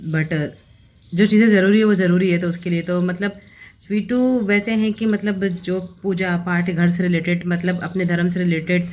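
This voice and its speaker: A woman's voice: on the low side, steady tone, moderate pace, a little mellow. A woman in her thirties talking through a family vlog at home, chatty and explaining things at length with rambling asides. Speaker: family vlogger.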